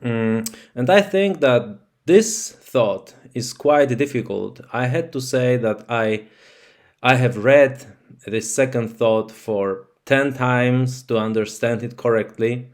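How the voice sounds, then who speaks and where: Man, Polish native speaker, speaking English, very slow pace, thoughtful, hesitant, casual talk to camera. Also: medium pitch. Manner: hesitant, thoughtful, frequent fillers. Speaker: channel host.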